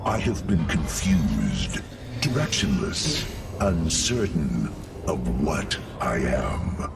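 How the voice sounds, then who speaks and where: An actor playing a proud, earnest robotic alien warrior in a video game, speaking in a solemn, declamatory way. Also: male voice, a bass voice, wildly swooping pitch, slow, creaky, distant mic, over music, heavily acted. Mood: proud, resolute. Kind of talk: dramatic video game acting.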